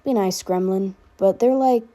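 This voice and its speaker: A woman's voice: mid-range voice, normal speed, dark tone, natural voice. A woman voicing a protective, teasing older brother in a casual, firm way for a fan fiction reading.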